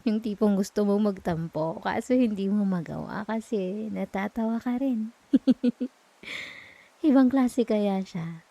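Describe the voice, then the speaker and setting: Woman acting as a sweet Filipino girlfriend, soft and soothing, mellow tone, unhurried, playful teasing, intimate ASMR roleplay. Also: medium pitch, gravelly, dry recording. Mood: affectionate. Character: sweet, teasing, comforting young girlfriend at night.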